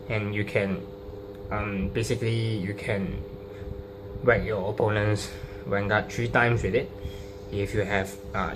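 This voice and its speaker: A man with a fairly low voice talks through a trading card deck in a casual, off-the-cuff way. His delivery is halting, with frequent ums as he explains each card. The home recording has clear noise and a hum behind him. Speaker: trading card game player presenting a deck profile.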